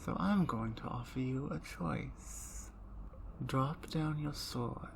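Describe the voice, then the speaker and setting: A woman voicing a predatory, seductive female vampire in an ASMR roleplay, speaking in slow, low, teasing whispers. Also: gravelly, a little hiss or hum, full character voice.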